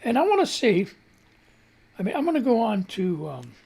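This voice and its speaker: A gravelly, husky man hosts a live talk radio news stream and riffs sarcastically on headlines and posts, swearing now and then. His pitch swings a lot while he talks. He sounds like he is fighting off a cough. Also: huge pitch swings, normal speed, darkish tone. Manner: ranting, sarcastic, swears freely. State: cold hands, holding back a cough. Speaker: radio news show host. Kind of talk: casual political commentary, reading and reacting to posts. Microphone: studio mic on a live stream.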